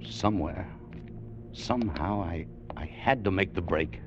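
World-weary hard-boiled salesman type, old radio drama, deep-ish voice, music under it. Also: measured pace. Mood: brooding. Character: restless, fed-up American machinery salesman, world-weary adventurer. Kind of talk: 1940s-50s radio drama acting, first-person narration. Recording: dull vintage radio recording, music behind.

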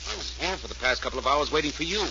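An American actor playing a pushy, slangy, brusque talent manager in a 1940s radio crime drama. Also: male voice, mid-range voice, animated, racing, lightly acted. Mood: sardonic, irritated. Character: pushy, fast-selling, slangy talent manager, tough and protective. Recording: muffled 1940s radio recording, faint hiss.

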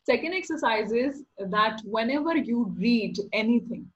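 A middle-aged woman with a slightly raised pitch and a faint nasal quality. She explains exercises patiently as a podcast guest and slips in playful jokes. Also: lively intonation, moderate pace, lo-fi sound. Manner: instructive, playful, laughs often. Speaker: podcast guest, voice-over artist. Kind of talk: casual interview.

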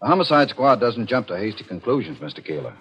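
An actor playing a calm, patronizing homicide detective, reassuring and matter-of-fact, in a 1940s radio mystery. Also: male voice, fairly low pitch, quick pace, slight background noise. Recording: dull, lo-fi old radio broadcast.

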